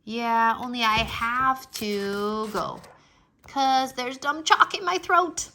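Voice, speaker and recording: A woman voicing a bossy, excitable little kindergarten girl in a children's storybook, with a cheeky, emphatic, childlike delivery. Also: a little high, slow pace, a little hiss or hum, full character voice. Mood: fretful. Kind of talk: children's storybook read-aloud.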